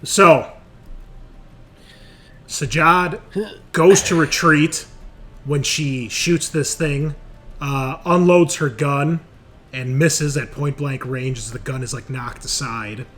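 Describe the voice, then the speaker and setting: A man's voice: fairly high pitch, slow, pauses often, fairly bright tone. A man acting as game master in an online tabletop game, switching between explaining rules and narrating the action.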